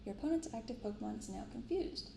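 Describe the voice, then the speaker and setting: Woman, soft-spoken ASMR, gentle casual commentary, crackly vocal fry, roomy recording with faint noise. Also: on the high side, lively intonation. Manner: gentle, unhurried reading of card text. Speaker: host of a card-opening video. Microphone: binaural microphone.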